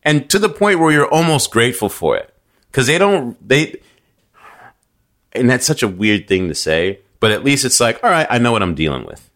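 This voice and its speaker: A man from New York talking casually on a podcast, animated and storytelling, with frequent restarts. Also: medium pitch, normal speed. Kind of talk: casual conversation.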